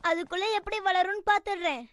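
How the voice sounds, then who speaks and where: A woman's voice: extremely high pitch, quick pace. A woman voicing a small, skeptical, grumbling magical companion in a children's cartoon, nagging and teasing. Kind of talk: Tamil-dubbed children's cartoon acting.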